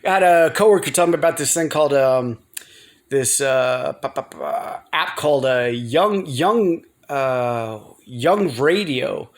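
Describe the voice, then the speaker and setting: An American man rambling casually to viewers in a vlog, halting often, repeating himself and circling back. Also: medium pitch, lively intonation. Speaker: video blogger.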